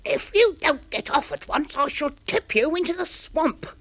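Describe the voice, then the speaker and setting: A woman voicing a big, hungry, lumpy swamp creature in a storybook, speaking in a slow, drawn-out, grumbling way. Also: sits low, lively intonation, breathy edge, dry recording, pitched below the performer's own voice, exaggerated voice. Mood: angry.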